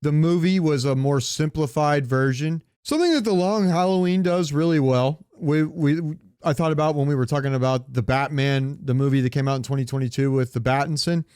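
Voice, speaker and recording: A man's voice: fairly high pitch, a little fast, few pauses, darkish tone, clean tone, no echo, studio quality. A man chatting casually as a podcast co-host in a rambling way, swearing now and then and asking questions. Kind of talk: casual chat about comics and movies.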